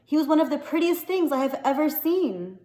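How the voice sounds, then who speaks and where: A woman's voice: sits high, very animated, brisk, dark tone, roomy sound, pitched above the performer's own voice. A woman voicing an earnest, sorrowful young storybook girl, solemn and wistful. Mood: sad, wistful.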